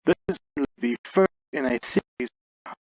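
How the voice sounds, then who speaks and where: A man giving casual game commentary and review as a video host, often pausing mid-sentence. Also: medium pitch, animated. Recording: muffled lo-fi mic, loud background noise.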